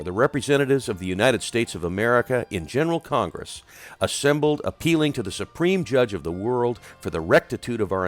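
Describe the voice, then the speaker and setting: Male reader, low register, widely varied intonation; solemn oratorical delivery of a historic document, deliberate and emphatic, music underneath. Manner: oratorical, deliberate phrasing with emphatic swells and falling phrase endings. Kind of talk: solemn dramatic recitation of a formal document.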